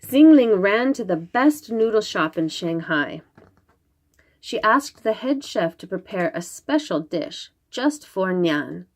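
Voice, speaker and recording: A woman reading a children's story aloud, expressive and dramatic, drawing out her words. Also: mid-range voice, slow. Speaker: storybook reader.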